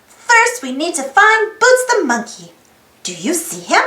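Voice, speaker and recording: Very high-pitched woman reading to kids. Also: unhurried, roomy sound. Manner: animated, addresses young listeners with questions. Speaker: storybook read-aloud host. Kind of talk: expressive children's picture-book read-aloud.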